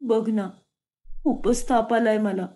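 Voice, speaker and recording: A woman voicing a sly, watchful young wife in a cartoon story, speaking softly and sweetly. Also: a little high, fairly even intonation, slow pace. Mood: pleading.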